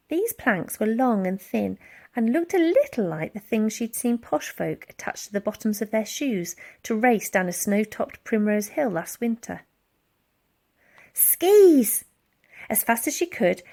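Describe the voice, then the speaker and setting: Animated, higher-voiced woman reading a storybook. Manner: dramatic, expressive. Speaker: audiobook reader. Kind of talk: animated children's storybook narration.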